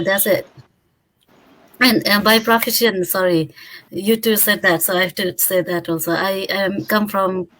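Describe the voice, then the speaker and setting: A woman's voice: on the low side, a bit bright, rough recording. A woman in her thirties from Bhutan, a guest on an online interview, answering chattily and correcting herself often. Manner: chatty, self-correcting, restarts.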